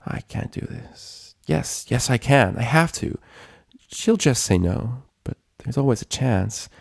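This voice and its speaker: Guy doing a nervous nerdy-earnest girl pony, higher voice, fretting, storybook cartoon. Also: expressive, measured pace, creaky, slightly rough, dry recording, studio quality, heavily acted. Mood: anxious. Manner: anxious, self-doubting.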